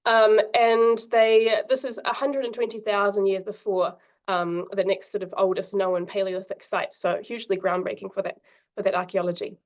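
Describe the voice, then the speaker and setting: A woman giving an academic conference talk over a video call, husky from a cold, friendly and filling pauses with ums and uhs. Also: mid-range voice, little pitch movement. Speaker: invited conference speaker.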